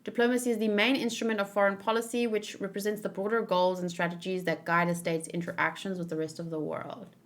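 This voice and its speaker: A woman's voice: medium pitch, flat delivery, fast, few pauses. Woman, read-along host, casual commentary, informal wording, thinking aloud, searching for words.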